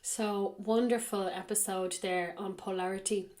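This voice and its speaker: Woman, raspy voice, cold, podcast host, measured reading aloud, spiritual text. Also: medium pitch, fairly even intonation, a little mellow. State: has a cold.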